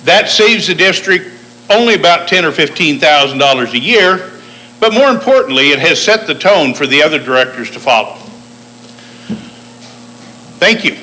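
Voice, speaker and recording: Male candidate, somewhat high and bright voice, measured point-by-point campaign pitch at a cafe forum. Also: animated, low-quality recording. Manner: measured, lists achievements point by point. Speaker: political candidate. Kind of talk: campaign speech at a public forum. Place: bakery cafe forum room.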